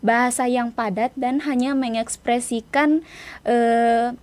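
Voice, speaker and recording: Young woman, higher-pitched, chatting informally. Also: steady tone, takes it slowly, darkish tone. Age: young adult. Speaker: interview guest, student writer. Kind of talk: casual interview chat.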